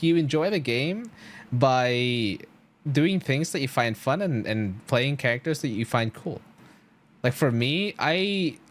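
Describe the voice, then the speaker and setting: An enthusiastic man chats casually as a live game streamer, his pitch swinging up and down freely. His talk is slangy and rambling, with a middle-register voice. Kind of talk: casual chat with stream viewers.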